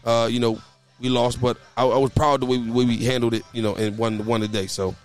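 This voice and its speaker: Casual male host, hoarse, stammering restarts, mid pitch, outdoors with faint music. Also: little pitch movement, quick pace. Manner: stammering restarts, repeats words. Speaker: podcast host and youth basketball coach. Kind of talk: casual chat. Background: faint background music.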